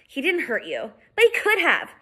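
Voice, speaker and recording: A woman reads a curious boy who wonders aloud in an earnest, plain way. The tone is fairly high and bright. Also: wildly swooping pitch, normal speed, full character voice.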